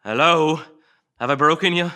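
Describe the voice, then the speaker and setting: A man's voice: a little high, moderate pace, sharp tone. A man playing a jaded, callous veteran police detective at a drama table read, blunt and sarcastic. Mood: sardonic.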